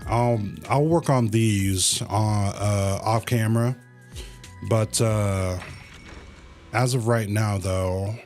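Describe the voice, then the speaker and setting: A man livestreaming a video game with casual commentary, thinking aloud in a halting way. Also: fairly low pitch, a little mellow, soft background music.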